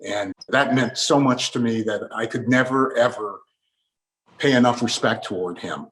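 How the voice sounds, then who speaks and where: A man's voice: mid-range voice, normal speed, fairly bright tone, low-quality recording. A man hosting a reflective interview, asking guests for their memories. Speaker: interview host. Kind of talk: radio tribute interview.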